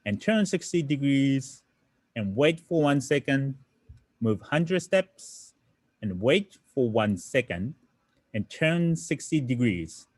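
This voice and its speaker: A man's voice: mid-range voice, darkish tone, no echo. A young man from Japan, a native Japanese speaker with a Japanese accent, explaining programming in an online lesson, often checking in with "right?" and fillers. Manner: explanatory, many "right?" tag questions and fillers. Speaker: programming instructor.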